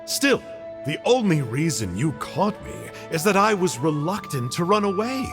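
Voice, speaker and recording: Chirpy, theatrical villain mage, swooping wildly. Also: male voice, a little high, big pitch swings, moderate pace. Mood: chirpy, chatty. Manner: theatrical, chatty, mock-polite. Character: chirpy, cheeky male Abyss mage villain. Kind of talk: video game voice acting. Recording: loud background music over voice. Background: music.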